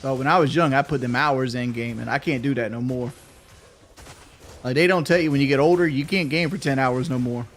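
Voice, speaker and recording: A man's voice: medium pitch, slight background noise. A middle-aged man streaming a game, chatting casually and cursing, rambling with frequent restarts and stutters. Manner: rambling, profane, stutters when thinking fast. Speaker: gaming streamer. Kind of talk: casual livestream chat.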